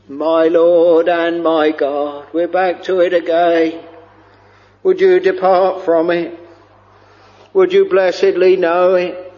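A man preaching a slow, fervent sermon, drawing out his words and sometimes lifting into a chant-like lilt. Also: fairly high pitch, a little mellow. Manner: drawn-out, intoning, breaks into a chant-like lilt. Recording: dull lo-fi recording with long hall reverb.

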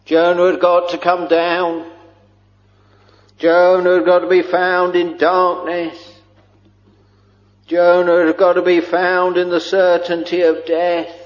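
A man preaching a slow, fervent sermon, drawing out his words and sometimes lifting into a chant-like lilt. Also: on the high side. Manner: drawn-out, intoning, breaks into a chant-like lilt. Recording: dull lo-fi recording with long hall reverb.

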